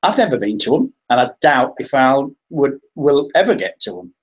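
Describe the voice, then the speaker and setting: A man's voice: medium pitch, sing-song, moderate pace, husky. An English man talking casually as the guest on a live-stream interview, often restarting and repeating his words. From England.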